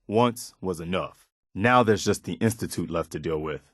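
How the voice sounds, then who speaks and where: Principled militia officer, video game companion, lowish pitch, sincere, plain-spoken, morally firm, dry studio sound. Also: male voice, normal speed, a bit bright, dry recording. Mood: relieved, earnest, then curious, bemused. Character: earnest, principled militia officer, loyal but easily disappointed.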